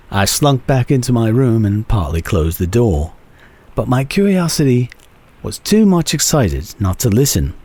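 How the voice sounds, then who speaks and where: A man giving a dramatic audiobook reading of old-fashioned prose, with measured, expressive phrasing. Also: fairly low pitch, slightly rough. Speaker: reader of a classic short story.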